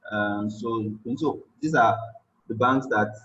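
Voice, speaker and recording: Slow, low-voiced Nigerian man presenting. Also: a bit bright. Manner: unhurried, many um fillers. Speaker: tech evangelist presenter. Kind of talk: conference presentation. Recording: roomy, slightly dull sound.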